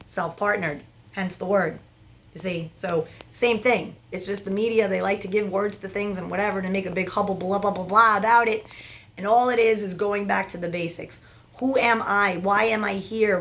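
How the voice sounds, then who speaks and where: A woman in her forties, a love coach giving frank, animated big-sister advice straight to camera. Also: mid-range voice. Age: 40s. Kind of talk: direct-to-camera advice vlog.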